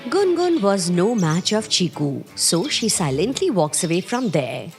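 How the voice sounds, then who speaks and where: A woman narrates a children's cartoon story. Her reading is plain and steady, with a lively rise and fall. Music plays under the narration. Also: lowish voice, measured pace.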